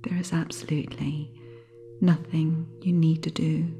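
A woman with a low, soft, breathy voice leads a guided sleep meditation. She keeps a very slow pace and a level, barely moving intonation. Her manner is gentle and soothing, with faint singing bowl music behind her. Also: level tone.

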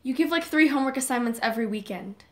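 Casting: persuasive teenage schoolgirl for audio drama, upbeat, fairly high voice played by a woman. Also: expressive, quick pace. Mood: surprised. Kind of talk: casual scripted audio drama acting.

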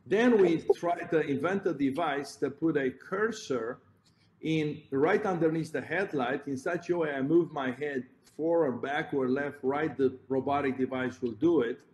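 A man's voice: fairly high pitch, a little slow, darkish tone. A man with a Romanian accent, a heart surgeon giving a conference presentation, explaining step by step and correcting himself as he points at slides. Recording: roomy echo, faint background noise.

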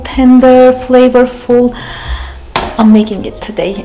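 A woman's voice: on the high side, warm tone. Chatty Armenian-born woman in an echoey kitchen. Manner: chatty, rambling, self-correcting, laughs at herself. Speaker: cooking show host. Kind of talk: casual cooking demonstration. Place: large bright home kitchen. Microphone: handheld camera close up, then camera on counter.

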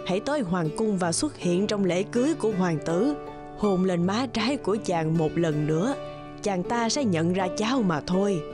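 A gentle, elderly fairy played by a woman from a cartoon fairy tale. She speaks in measured, encouraging phrases with a very varied melody. Music plays clearly behind her. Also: medium pitch, expressive, natural voice. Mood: kindly, encouraging. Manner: reassuring, measured.

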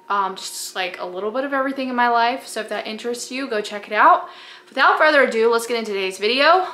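A woman in her thirties chatting casually on camera in her home kitchen, relaxed and full of fillers. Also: mid-range voice. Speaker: homemaking channel host. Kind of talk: casual on-camera cooking chat. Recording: echoey kitchen with faint noise.

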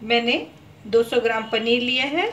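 A woman narrates her recipe step by step in a calm, instructive way, mixing English cooking terms into Hindi. Her voice sits slightly high. The recording carries a roomy kitchen echo and faint background noise. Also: normal speed, muffled recording. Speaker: cooking channel host.

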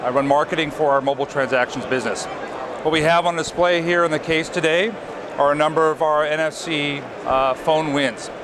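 A man's voice: fairly even intonation, brisk, background noise. A man in his thirties gives a polished, upbeat product demo as a marketing director, recorded by a nearby camera on a busy trade show floor. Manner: upbeat, polished sales pitch. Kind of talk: scripted product walkthrough.

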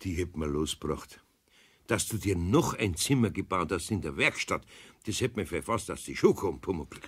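A man's voice: sits low, expressive, normal speed, a little hiss or hum. An older man with a Bavarian accent playing a kindly, wily old master craftsman in a children's audio drama, folksy and patient. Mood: fond, patient.

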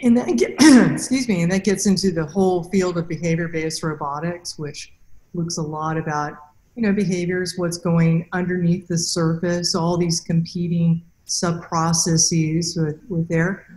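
A woman's voice: on the low side, steady tone, unhurried, darkish tone. A middle-aged woman interviewing conversationally as a podcast host over a video call. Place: indoor room, video call. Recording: roomy, echoing video-call sound.

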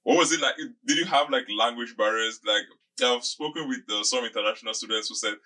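A man's voice: medium pitch, fairly quick, pushed and bright. A man in his thirties hosting a podcast interview in a conversational way, wordy and repeating phrases as he thinks aloud, at a close studio microphone. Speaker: podcast host. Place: small indoor podcast studio. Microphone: desk microphone close up, with headphones.

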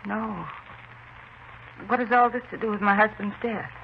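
A woman's voice: medium pitch, steady tone, normal speed, natural voice. A woman playing a newly widowed wife, reserved and guarded, with short answers, in a 1950s radio drama. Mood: subdued, guarded. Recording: muffled 1950s radio recording, faint noise.

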